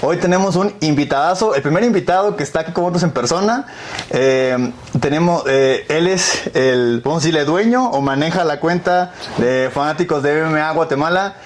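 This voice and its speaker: A young man hosts a casual interview. His voice sits a little high, and he talks haltingly, repeating words as he builds a question. There is noticeable ambient noise behind him. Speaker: podcast host, interviewer.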